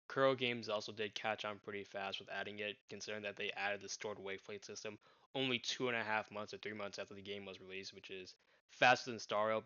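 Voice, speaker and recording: A young man giving his gaming opinions in a monotone, flat delivery, speaking as a channel host. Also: lowish voice, smooth, dry recording, dull audio. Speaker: gaming commentator. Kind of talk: opinion commentary to camera.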